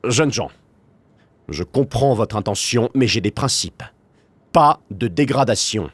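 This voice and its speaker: A man's voice: medium pitch, animated, unhurried, dry recording. A man playing a stern, moralizing school staffer in an audio drama, formal and pompous as he admonishes. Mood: stern, lecturing.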